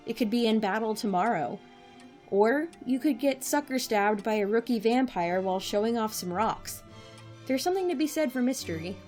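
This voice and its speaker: A woman's voice: fairly high pitch, expressive, normal speed, a little mellow, faint music behind, natural voice. An actress playing a wry, irreverent young woman, a newly made director, with casual sarcastic speech in an audio drama. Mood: frank, sardonic.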